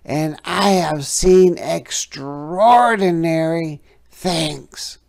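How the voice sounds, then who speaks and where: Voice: mid-range voice, very slow, gravelly, darkish tone, voice pushed up. A storybook reader voicing a proud, boastful young frog with a triumphant, mysterious, emphatic delivery. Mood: mysterious, wondrous. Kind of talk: children's storybook character voice.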